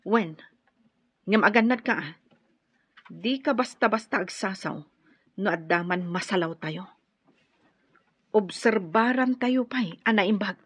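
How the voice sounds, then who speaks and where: A woman's voice: mid-range voice, a little slow, long pauses, fairly bright tone, husky, no room sound. A woman acting a cautious, consoling partner in a revenge plot, speaking earnestly and measuredly in an Ilocano radio drama. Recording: dull, noisy lo-fi radio sound.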